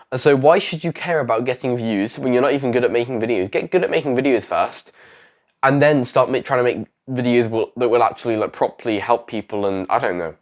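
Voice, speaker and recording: A young man with a sick, failing voice giving rambling, self-correcting advice on his vlog. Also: medium pitch. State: sick, voice going. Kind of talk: casual talking-head advice vlog.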